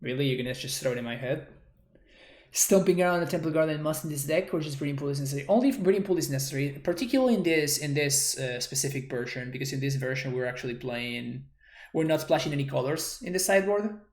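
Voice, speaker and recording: A man's voice: a little high, fast. A man from Argentina, streaming a card game and thinking aloud as he works through his plays. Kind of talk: live card game commentary. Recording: roomy echo.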